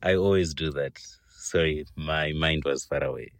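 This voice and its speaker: A man playing a hesitant, dreamy corridor dweller in a radio play, musing slowly and poetically. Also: a bass voice, dry recording. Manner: slow, deliberate, musing.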